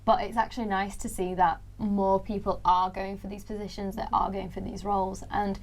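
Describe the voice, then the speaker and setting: A woman's voice: medium pitch, level tone, normal speed. A young Welsh woman in her early twenties, a student leader being interviewed, talking in a chatty way with a big voice and frequent laughs. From Wales. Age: young adult. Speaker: student union president-elect. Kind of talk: casual studio interview.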